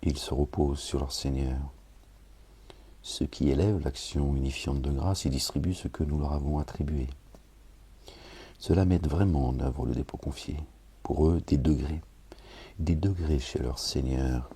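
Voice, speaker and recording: A man with an extremely deep, gravelly voice that sits dark and husky. He chats to listeners in a grumbling, wry way before moving into slow, measured scripture reading laced with Arabic invocations. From France. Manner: grumbling, wry asides, addresses listeners directly. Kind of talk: casual talk then solemn scripture reading.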